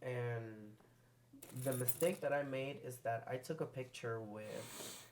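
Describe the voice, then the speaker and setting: A man in his twenties, born in the Caribbean, telling a story on a casual podcast, halting and full of fillers. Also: mid-range voice, darkish tone, a little hiss or hum. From Caribbean; born in Guyana, grew up in Antigua. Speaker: guest.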